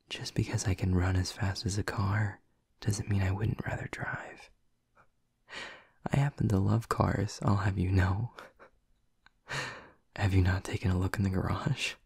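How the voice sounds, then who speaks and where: Male actor as a doting vampire boyfriend: low, breathy, slow whispers, teasing and intimate. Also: flat delivery, a little mellow, no echo, clean studio sound, full character voice. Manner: teasing and affectionate. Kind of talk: ASMR audio roleplay.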